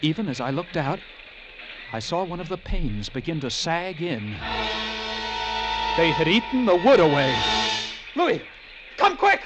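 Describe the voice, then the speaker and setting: Animated lighthouse keeper recounting horror. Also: male voice, fairly high pitch, a little slow, long pauses, muffled recording. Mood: tense narration, then urgent alarm. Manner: dramatic, vivid storytelling. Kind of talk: classic radio drama acting, first-person narration. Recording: vintage radio recording with loud music.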